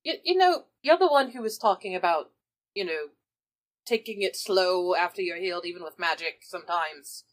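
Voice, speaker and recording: A woman's voice: lowish voice, expressive, moderate pace. A woman playing a tabletop game, switching between casual improvised role-play and wry, chatty table banter.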